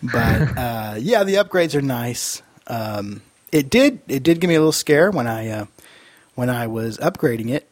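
A man co-hosting a podcast in casual, easygoing and rambling chat, his voice husky from a cold. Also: mid-range voice, lively intonation, moderate pace, no echo. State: fighting off a cold. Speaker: podcast co-host.

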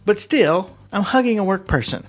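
A man's voice: sits high, expressive, moderate pace, faint music behind. A man co-hosting a podcast, casually telling a long anecdote that keeps wandering into asides and self-corrections. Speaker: podcast co-host. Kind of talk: casual chat, storytelling. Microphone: studio microphone plugged into computer.

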